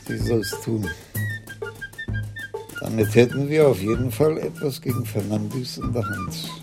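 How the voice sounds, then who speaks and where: An actor playing a shrewd, sober senior police commissioner in a 1980s German radio crime play. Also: male voice, mid-range voice, wildly swooping pitch, a little slow, creaky, music in the background, exaggerated voice. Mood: decisive.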